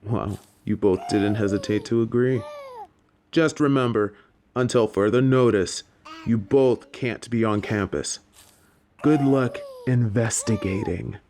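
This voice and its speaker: A man playing a composed, wry professor at a wizard school in an audio drama, dry, teasing and deliberate. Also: lowish voice, darkish tone, a little hiss or hum. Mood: dry, matter-of-fact. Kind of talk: scripted audio drama acting.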